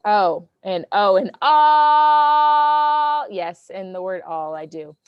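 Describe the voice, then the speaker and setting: Brief: dry-sounding woman teacher, loud and playful, keeps kids on track. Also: medium pitch, lively intonation, very slow, dry recording, highs cut off. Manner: loud and goofy, checks in with "okay?". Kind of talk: classroom lesson to children.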